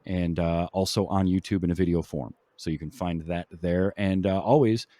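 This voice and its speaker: A man's voice: sits low, fairly even intonation, moderate pace, mellow, no room sound. A man hosting a podcast, talking casually and plugging the show. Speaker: podcast host.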